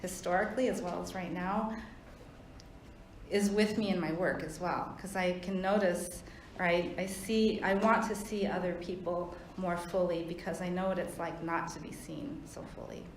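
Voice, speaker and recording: A woman on a discussion panel, speaking thoughtfully and earnestly with frequent pauses and fillers; her first language was Thai. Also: lowish voice, steady tone, echoey room, a little hiss or hum, rough recording. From United States. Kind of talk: reflective panel talk.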